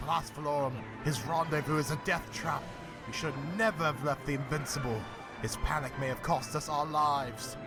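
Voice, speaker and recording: A man's voice: fairly high pitch, steady tone, moderate pace, over loud music, close to an everyday voice. A man voicing a bitter, blustering, blaming military commander in a comic dub, indignant and pompous.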